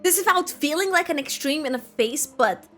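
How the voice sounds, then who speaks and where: A young woman giving casual game reaction commentary, giggly and rambling in a halting way. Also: high voice, animated, fairly bright tone, faint music behind. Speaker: game streamer.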